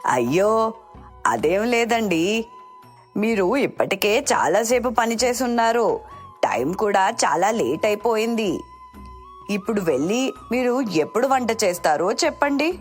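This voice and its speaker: A woman playing a kind, considerate household employer in a story cartoon, polite and gently insistent. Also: mid-range voice, expressive, unhurried, faint music behind, natural voice. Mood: kindly concern.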